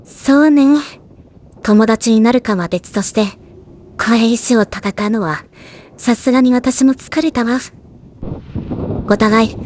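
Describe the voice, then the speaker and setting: A woman voicing a stoic, battle-hardened female death god in an anime drama, with measured, composed dramatic delivery. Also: fairly high pitch, background noise, lightly acted. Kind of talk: anime voice drama, scripted narration and dialogue.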